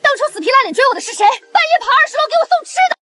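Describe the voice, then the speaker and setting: Woman doing snobby rich girl in a chinese audio drama, catty, high voice, talks quick. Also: very animated. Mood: smug, scornful. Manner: snobbish, taunting, theatrical. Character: haughty young rich socialite woman, catty and scornful.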